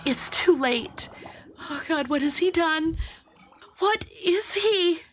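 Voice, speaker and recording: A woman's voice: very high voice, expressive, slow, heavily acted. A woman acting in a cinematic audio drama as a distraught, anxious colleague. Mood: horrified. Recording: music and effects behind.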